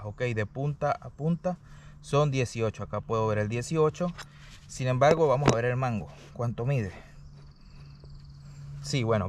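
A man giving a casual, explanatory hands-on product review, often pausing to check the listener follows. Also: medium pitch, a little mellow. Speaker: product reviewer, channel host. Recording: distant mic, faint background noise.

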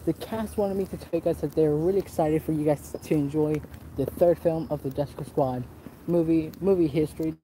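A slightly high-voiced man talks into a handheld phone as he walks outside, rambling, cursing and breaking off mid-sentence. Steady outdoor noise runs under the phone audio, and his voice sits a touch above the usual male range. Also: normal speed, poor audio. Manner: rambling, profane, restarts often. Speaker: vlogger. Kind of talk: casual walking vlog. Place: outdoors on a resort path. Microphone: phone camera held while walking.

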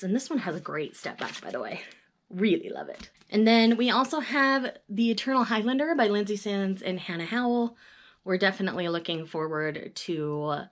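A cheerful woman, chatting casually to her camera at a desk. She talks in an upbeat, easygoing way, with little laughs between titles, recorded in a small room lined with bookshelves. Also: medium pitch. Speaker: book vlogger. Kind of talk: casual reading-plan chat. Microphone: webcam or camera at desk distance.

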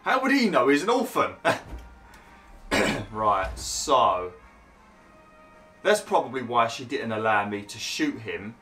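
A man's voice: medium pitch, very animated, slow, long pauses, bright, forceful tone, soft background music. A young man commentating over gameplay, chatty and grumbling, saying he is losing his voice. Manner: chatty, grumbling, laughs at himself. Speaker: gaming YouTuber.

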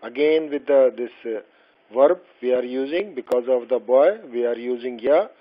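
Male language tutor, not a native speaker of Arabic, mid pitch, patient and halting with fillers, dull lo-fi voice-over. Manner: slow, patient explaining with many fillers and restarts. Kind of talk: explaining a textbook lesson. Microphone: voice-over over slides.

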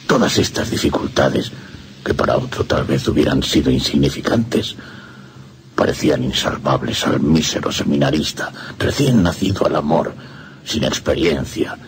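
Tormented young priest, Castilian accent, very low voice, lively dramatic lamenting, radio play, background noise. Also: male voice, vocal fry, heavily acted. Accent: Castilian Spanish. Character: tormented young seminarian priest, desperately lovesick, confessing. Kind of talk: Spanish radio drama monologue.

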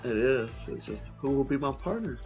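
A man's voice: mid-range voice, expressive, moderate pace, a little mellow. A young man doing casual, slangy gaming commentary and reading game dialogue aloud. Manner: informal, slangy. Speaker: gaming streamer. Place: indoor room at home, streaming on webcam. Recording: game music behind the voice. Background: video game music.

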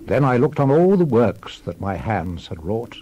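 A man playing a world-weary, reflective old king in a solemn, measured dramatized scripture reading, deliberate and letting his phrases fall away. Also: mid-range voice, sing-song, mellow, dull audio, a little hiss or hum, lightly acted. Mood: stately, reflective. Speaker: actor in a dramatized Bible reading.

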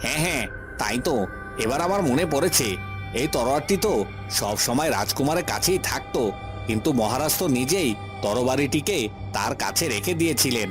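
A man's voice: mid-range voice, expressive, a little fast, music in the background, natural voice. A man voicing a mercenary henchman in a cartoon, with a questioning, deferential manner. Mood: deferential, curious. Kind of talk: Bengali cartoon voice acting.

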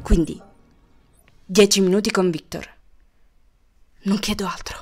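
A woman's voice: mid-range voice, fairly even intonation, unhurried, a little mellow, slightly rough. A woman voicing a nervy, indignant young female student in an amateur drama fan dub, talking in a rambling, emphatic, dramatic way. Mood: insistent. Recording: music behind the voice.

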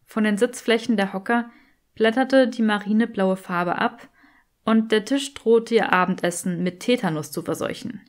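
A woman's voice: mid-range voice, normal speed. A woman reading scripted fiction as an audiobook narrator.

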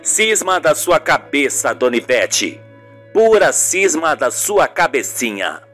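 Young journalist son, played by a man, defensive, exasperated, animated intonation, radio soap. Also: fairly high pitch, slow pace, faint music behind, voice pushed down, heavily acted. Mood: placating. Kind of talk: amateur radio soap acting.

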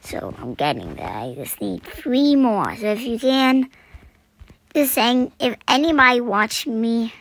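A young woman chatting through a survival game, her voice scratchy this morning, clearing her throat and often dropping to a whisper. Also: fairly high pitch, sing-song, unhurried, dry recording. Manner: chatty, self-deprecating, often drops to a whisper. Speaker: gaming channel host. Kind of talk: casual gameplay commentary.

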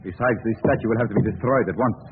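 An actor playing a domineering, impatient, cold-blooded professor with a brusque manner in 1940s radio style. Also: male voice, on the low side, rapid-fire, natural voice. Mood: impatient, menacing. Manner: brusque, commanding. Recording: scratchy, tinny, echoing 1940s radio recording.

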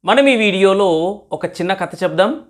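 A woman narrates a simple moral story for a child. She has a teacherly manner, pausing to ask questions and repeating key words. Her pitch sits in the middle of the range. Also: lively intonation, a little hiss or hum. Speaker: storyteller and channel host.

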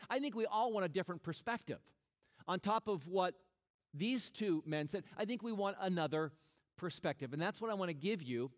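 A middle-aged man preaching a sermon on a church stage, animated and conversational, often restarting his sentences. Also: high-pitched. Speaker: pastor.

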